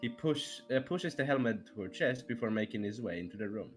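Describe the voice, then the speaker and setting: A man's voice: mid-range voice, animated, brisk, faint music behind. A man giving an amateur dramatic narration of a story, now and then stumbling over the words. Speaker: reader of fan fiction on a livestream.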